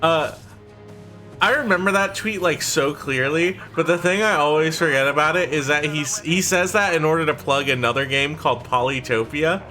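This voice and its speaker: Brief: twenties male streamer, bright voice, rambling casual chatter, music faint behind. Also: on the high side, normal speed. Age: 20s. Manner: rambling, trails off and restarts, laughs easily. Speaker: streamer playing a strategy game. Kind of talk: casual chat with a co-host while reading chat.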